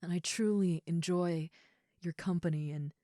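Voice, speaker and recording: A woman playing an affectionate giant ogre roommate in an intimate comfort roleplay, speaking tenderly and haltingly with soft, breathy asides. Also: on the low side, steady tone, no echo, studio quality, lightly acted. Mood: tender, hesitant. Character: gentle, affectionate giant female ogre roommate, shyly confessing.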